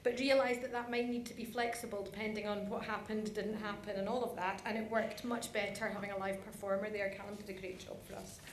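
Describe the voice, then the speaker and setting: A woman's voice: medium pitch, little pitch movement, brisk, few pauses. A woman giving an informal presentation in a chatty way, speaking directly to her audience. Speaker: conference presenter. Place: large conference hall. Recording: echoey hall, some background noise.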